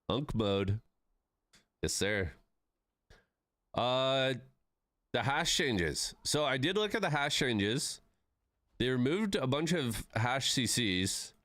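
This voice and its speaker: Laid-back streamer man, lively swooping pitch. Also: no room sound, studio quality. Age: 30s. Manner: laid-back, swears freely, says "bro" and "man". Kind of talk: casual live-stream chat with viewers.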